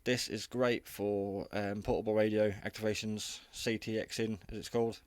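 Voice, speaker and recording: A man's voice: fairly low pitch, little pitch movement, normal speed, no room sound. A man giving a casual, unscripted hobby radio review in a rambling, matter-of-fact, modest way.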